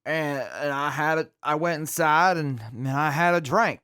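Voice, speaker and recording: A man playing a drunk, sheepish, good-natured crewman in an audio drama, rambling haltingly with slurred speech. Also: fairly high pitch, fairly even intonation, sharp tone, clear, resonant tone, no room sound, clean studio sound.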